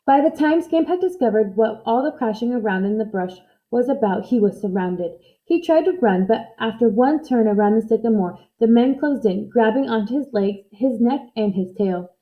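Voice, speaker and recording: A woman reading a children's storybook aloud in expressive narration. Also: a little high, normal speed, hardly any pauses, narrow, tinny audio. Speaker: storybook reader.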